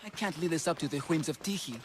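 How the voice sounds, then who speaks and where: A man acting a high-voiced, lovesick, melodramatic athlete. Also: fast, a little hiss or hum, lightly acted. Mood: scheming, besotted. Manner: theatrical, gushing, dramatic. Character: lovesick melodramatic male ancient Greek athlete, fretful and smitten. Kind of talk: video game cutscene acting.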